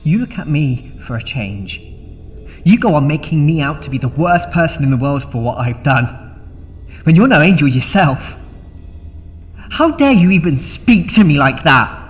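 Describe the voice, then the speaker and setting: A man acting a high-voiced, needy obsessive man. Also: very animated, moderate pace. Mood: wounded anger. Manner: pleading, emotional, faltering. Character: obsessive, needy, wounded young male hanger-on. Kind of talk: audio drama acting. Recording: long echoing reverb, music behind.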